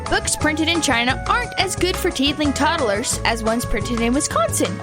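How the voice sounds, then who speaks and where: High, animated woman reading a comic ad. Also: moderate pace. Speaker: commercial announcer. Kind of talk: scripted tongue-in-cheek ad read. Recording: music bed behind the voice.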